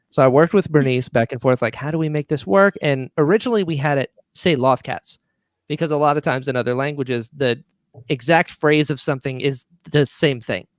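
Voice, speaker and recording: Male podcast guest, writer-producer type; higher register, dry recording, animated casual storytelling. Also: no room sound. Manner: enthusiastic, chatty, quotes conversations.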